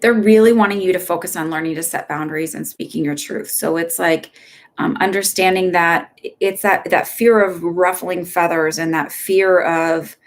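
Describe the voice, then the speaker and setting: A woman's voice: fairly low pitch, steady tone, moderate pace. Chatty, upbeat middle-aged woman. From Texas. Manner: chatty and upbeat, rambling, laughs often; gentle and reassuring when giving advice. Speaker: psychic medium giving live readings. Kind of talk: casual live-stream readings.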